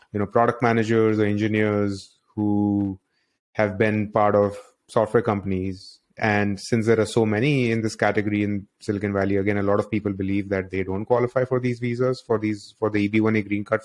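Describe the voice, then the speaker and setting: A man's voice: fairly low pitch, little pitch movement, a little fast, a little mellow. A man hosting an interview, asking long, roundabout questions in a conversational way and rephrasing as he goes. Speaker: interviewer, host.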